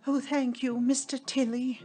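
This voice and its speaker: High, gushing spirit medium lady, acted by a woman. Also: little pitch movement, a little slow, mellow, soft background music. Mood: gushing, breathless. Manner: effusive, wheedling. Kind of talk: storybook character voice.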